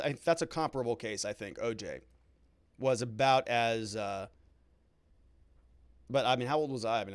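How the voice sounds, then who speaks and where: Animated American man in casual live commentary. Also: mid-range voice, no echo. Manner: wry, conversational, joking asides. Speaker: livestream host and legal commentator.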